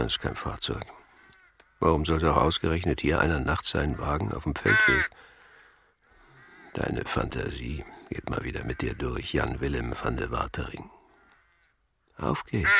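A man's voice: rumbling low, gravelly, sharp tone, husky, exaggerated voice. An actor playing a grumpy older man muttering to himself on a night walk, in a radio drama. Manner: grumbling muttered inner monologue. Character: grumpy older male local walking home at night.

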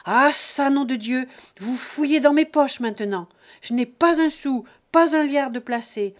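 A man's voice: very high-pitched, measured pace, pitched above the performer's own voice. Woman doing a roaring old peasant patriarch. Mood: furious. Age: older. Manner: roaring, threatening.

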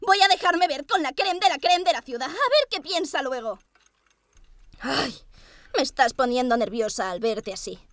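A woman's voice: high voice, animated, moderate pace, fairly bright tone, dry recording. A woman playing a haughty, bossy wealthy lady who orders her maid around in a radio drama. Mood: weary, complaining. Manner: imperious and scolding.

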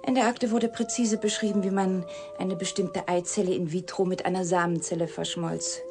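An actress playing an embittered, secretive woman scientist in a German radio drama, deliberate and intense in delivery. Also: lowish voice, level tone, music in the background, natural voice. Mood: controlled, confiding. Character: embittered woman scientist, secretive product of her father's experiment.

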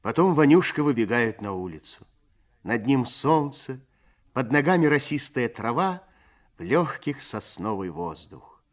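A man narrates a 1970s Soviet radio play with a mid-pitched voice. His delivery is scripted and literary, measured yet expressive, like a storyteller setting out each scene.

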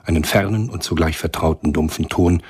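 A man narrating a spy thriller radio drama in a measured, literary storytelling manner. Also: rumbling low, a little mellow, slightly rough. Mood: grave, measured.

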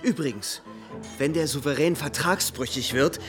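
Actor as a bright, declamatory young man. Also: on the high side, normal speed, faint music behind, lightly acted. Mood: defiant. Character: bold, indignant young man arguing politics at a salon. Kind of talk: German radio drama acting.

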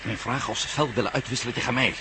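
A man's voice: mid-range voice, fast talker, noisy background, lightly acted. A man playing the anguished, bitter husband of a hostage in a radio drama. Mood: anxious, bitter.

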